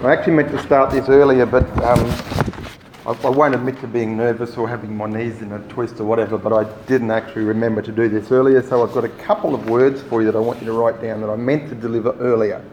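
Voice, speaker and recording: A man running a training workshop, giving relaxed, rambling instructions to the group. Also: mid-range voice, quick pace. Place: meeting room. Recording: echoey room, faint noise.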